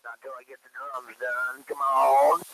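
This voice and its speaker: A man's voice: medium pitch, level tone, unhurried, vocal fry, fairly bright tone, rough recording. A man chatting casually over CB radio in a joking, coarse manner. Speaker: CB radio operator. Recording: static-laden CB radio transmission.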